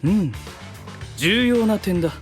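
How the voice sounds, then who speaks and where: A formal, analytical knight in an anime audio drama. His high voice is measured and serious, with faint music underneath. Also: lively intonation. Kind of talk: anime-style voice drama acting.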